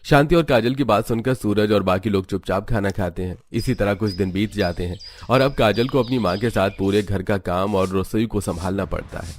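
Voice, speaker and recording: A man's voice: on the low side, normal speed, few pauses, a little hiss or hum. A man reading scripted story narration for a cartoon. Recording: background music.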